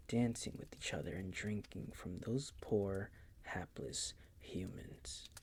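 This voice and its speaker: A man playing a possessive, condescending vampire master in an intimate roleplay audio, teasing in a breathy, gravelly, often whispered voice. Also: lowish voice, a little mellow. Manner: slow, teasing, condescending purr.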